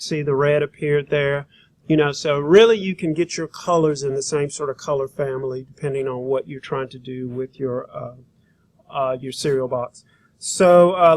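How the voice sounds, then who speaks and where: A man with a slightly high voice gives a hands-on software tutorial as a design instructor. He talks informally, thinking aloud while he works and explaining steps as he goes. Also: no echo.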